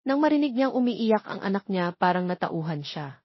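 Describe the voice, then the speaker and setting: Husky woman narrating a romance story. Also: mid-range voice, big pitch swings, normal speed, dry recording, muffled recording.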